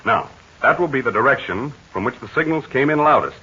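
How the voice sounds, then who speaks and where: An actor playing a calm heroic pilot who patiently explains and gives orders, in 1940s radio acting on a dull, hissy vintage recording. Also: male voice, medium pitch, a little fast, slightly rough. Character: calm, steady adult male hero pilot.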